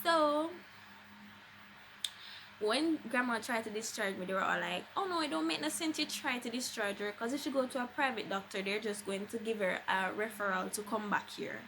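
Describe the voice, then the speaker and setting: A woman's voice: fairly high pitch, moderate pace, fairly bright tone, slight background noise, low-quality recording. A young adult woman with a Jamaican accent, chatting casually and expressively as a vlogger telling a personal story, with emphatic conversational delivery.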